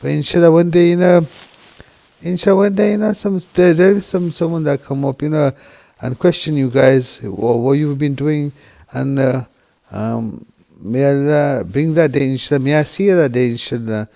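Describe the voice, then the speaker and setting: A man from the United Kingdom speaking straight to camera in a halting, subdued way, full of restarts and "you know" fillers. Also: fairly high pitch, gravelly. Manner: subdued and quiet, grieving. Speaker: vlogger. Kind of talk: emotional personal monologue.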